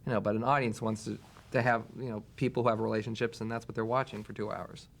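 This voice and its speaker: A man, a screenwriter, briskly explaining choices made in adapting a true story. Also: fairly low pitch, little pitch movement, gravelly. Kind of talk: retrospective interview.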